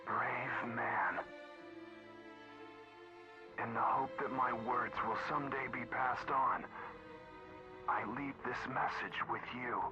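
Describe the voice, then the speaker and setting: An actor playing a gentle, loving alien husband in a video game, speaking halting, breathy last words as he dies. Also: mid-range voice, fairly even intonation, highs cut off, over music, close to an everyday voice. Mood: weak, solemn. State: weak, dying. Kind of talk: video game cutscene acting.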